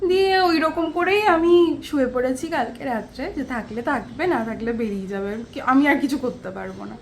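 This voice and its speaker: A woman's voice: sits high, fairly bright tone. A woman with a West Bengali accent telling everyday stories casually to viewers in a chatty home vlog. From West Bengal, India. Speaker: vlogger. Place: small one-room home. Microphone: handheld camera at arm's length. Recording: faint background noise.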